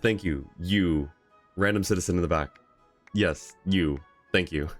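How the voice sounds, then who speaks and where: A man's voice: low-pitched, measured pace, soft background music. A man chatting casually and reacting as he livestreams a video game. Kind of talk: casual stream chat and reactions.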